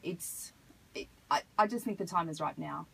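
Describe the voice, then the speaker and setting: A woman answering as a radio interview guest, earnest and measured, picking her phrasing hesitantly as she explains. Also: fairly low pitch, creaky, a bit bright, poor audio. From Australia. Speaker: hip hop artist, interview guest. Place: radio studio. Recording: noticeable background noise.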